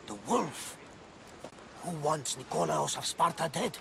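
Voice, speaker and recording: An actor playing an older, bluff ship's captain in a video game, gravelly and hearty with a grandiose turn of speech. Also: male voice, on the high side, expressive, measured pace, fairly bright tone, slight background noise, full character voice. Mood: incredulous. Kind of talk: cinematic video game acting.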